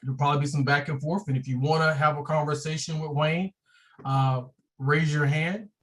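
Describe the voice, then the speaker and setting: A man's voice: on the high side, fairly even intonation, fairly quick. Chatty man hosting a virtual meeting. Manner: loose, joking, chatty. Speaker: branch president, meeting host. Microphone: video call.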